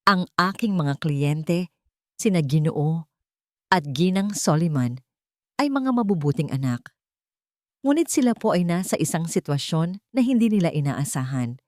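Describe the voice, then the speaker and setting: A woman's voice: fairly low pitch, expressive, a little slow, long pauses, a little mellow, no room sound, clean studio sound. A woman voicing a confident male lawyer in a story, speaking formally and persuasively, with theatrical polish. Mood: smooth persuasion.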